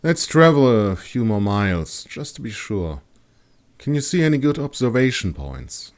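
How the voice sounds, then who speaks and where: Man voicing a composed female master astrologer, raised pitch. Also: big pitch swings, normal speed, lightly acted. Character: female astrologer teacher, composed leader in authority. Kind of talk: audiobook character dialogue.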